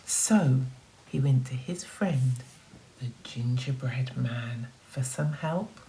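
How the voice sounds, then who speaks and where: A woman teacher reading a children's story aloud in an expressive, encouraging manner, talking directly to young listeners. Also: rumbling low, slow pace, rough recording. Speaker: primary school teacher.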